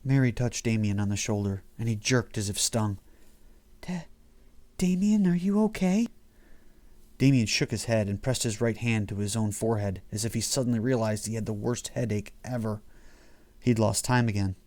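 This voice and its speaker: A man's voice: lowish voice, fairly even intonation, fairly quick, darkish tone. A man reading his own horror short story as scripted audiobook narration.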